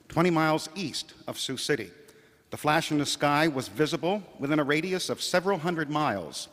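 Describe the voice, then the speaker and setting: Old radio bulletin announcer guy, formal, serious, a little high pitched, hall echo on it. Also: a bit bright. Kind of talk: old-time radio newsreading. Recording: hall reverb.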